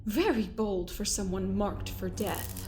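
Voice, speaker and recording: A woman's voice: mid-range voice, a little slow, a little mellow, faint music behind, lightly acted. An actress playing a cold, haughty woman doctor who threatens people in an audio drama. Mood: cold, threatening. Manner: haughty, menacing.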